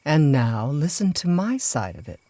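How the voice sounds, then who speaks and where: A woman acting a cold, commanding plotter in a radio drama, deliberate and theatrical. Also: deep voice, very animated, dark tone, no echo, full character voice. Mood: coldly triumphant. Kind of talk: dramatic first-person radio drama narration.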